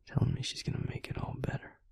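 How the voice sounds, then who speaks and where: A man playing a bitter, wounded vampire recalling his trauma in an intimate audio roleplay, speaking in a halting, breathy whisper. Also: natural voice. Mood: distressed, hushed. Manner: halting, hushed, with heavy pauses. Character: wounded, bitter male vampire, once a healer, recounting trauma.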